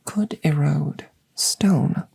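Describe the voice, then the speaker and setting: Alien scientist, played by a woman: low, breathy near-whisper, very unhurried, formal and detached, close-miked ASMR roleplay. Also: darkish tone, exaggerated voice. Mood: clinical, soothing. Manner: slow, formal, precise, detached phrasing. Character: curious, clinical alien scientist tending a rescued human. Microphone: close microphone, ASMR style.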